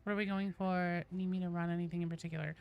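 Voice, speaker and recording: A woman's voice: on the low side, level tone, quick pace, clean tone, lo-fi sound. A woman game streamer chatting casually through live gameplay, informal and sweary, full of gamer slang. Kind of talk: casual live gameplay commentary to chat and teammates.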